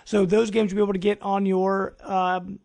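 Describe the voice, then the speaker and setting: High-voiced man hosting a casual podcast. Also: little pitch movement, moderate pace, gravelly, darkish tone. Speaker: podcast host. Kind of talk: casual gaming news podcast talk.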